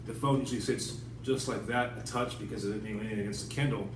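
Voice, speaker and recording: A man's voice: fairly low pitch, steady tone, quick pace. A man talking casually and off the cuff in a blunt, rambling, sometimes profane way, as the host of his own vlog. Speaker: writer hosting his own video channel. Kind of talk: casual unscripted vlog talk. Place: indoors at home in an apartment. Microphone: tablet camera at a distance. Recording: distant, echoey room with clear background noise.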